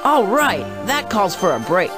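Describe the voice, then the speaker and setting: Confident kid detective, kinda wry, very up n down, faint music under. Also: female voice, medium pitch, big pitch swings, unhurried. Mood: casual, curious. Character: wry, confident young detective teammate in children's game. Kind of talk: scripted children's game voice acting.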